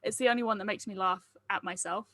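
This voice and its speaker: A woman's voice: mid-range voice, steady tone, quick pace, sharp tone, slight background noise. A woman chatting casually on a group call as the writer leading a table read, jokey and self-deprecating. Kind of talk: casual video call chat.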